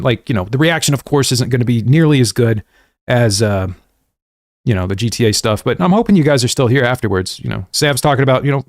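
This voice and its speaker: An American man talks to his livestream viewers in a loose, rambling style full of slang. His pitch sits in an ordinary middle range for a man, and he is self-deprecating as he goes. The sound is dry and close, with very little room in it. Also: no echo. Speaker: gaming livestream host. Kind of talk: casual livestream chat to viewers. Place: indoors at a desk.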